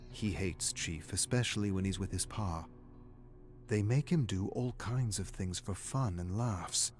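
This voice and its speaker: A man with a low, deep voice delivers dramatic scripted narration. He is grave and deliberate, like a brooding storyteller. Soft music sits underneath his voice. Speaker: narrator of game lore readings.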